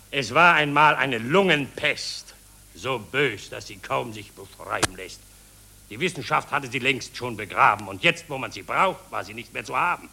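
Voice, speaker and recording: An actor playing a pompous engineer-inventor who proudly declaims rhymed verse in a satirical radio play. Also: male voice, mid-range voice, creaky, pushed and bright, husky, exaggerated voice. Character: pompous Berlin engineer-inventor proudly selling deadly plague.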